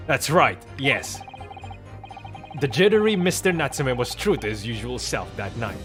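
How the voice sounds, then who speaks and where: A man's voice: medium pitch, expressive, a little slow, over music, close to an everyday voice. A man voicing an earnest, confident young defence lawyer in a video game, arguing formally and emphatically. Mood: insistent. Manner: formal, emphatic courtroom argument. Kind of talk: video game character voice reading.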